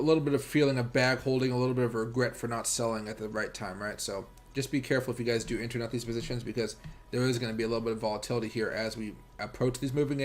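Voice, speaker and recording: Fast-talking American man on a livestream. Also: mid-range voice. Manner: rambling, informal, addresses viewers directly. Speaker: livestream host and crypto trader. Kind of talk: casual livestream chat and chart commentary.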